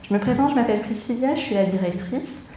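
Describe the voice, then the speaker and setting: A woman's voice: fairly high pitch, fast talker, mellow, a little hiss or hum. A woman, a theatre director, addressing an audience live from a theatre, in the manner of a welcome and read-aloud story. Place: theatre auditorium, before an audience. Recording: roomy echo, music underneath.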